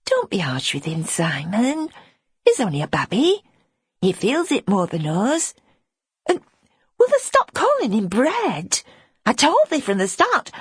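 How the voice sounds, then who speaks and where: A woman voicing a motherly poor orphan girl with a Northern English accent, gentle but firm, in an audiobook. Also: mid-range voice, slightly rough, dry recording, clean studio sound, pitched above the performer's own voice, heavily acted. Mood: protective, firm. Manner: coaxing, plain dialect talk. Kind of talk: audiobook character acting.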